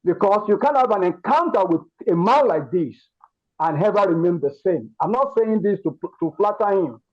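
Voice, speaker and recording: A man's voice: high voice, animated, normal speed, lo-fi sound. A man with a Nigerian Yoruba accent gives an earnest testimony to a group, speaking emphatically and often repeating his words and phrases. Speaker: participant in an online masterclass giving feedback.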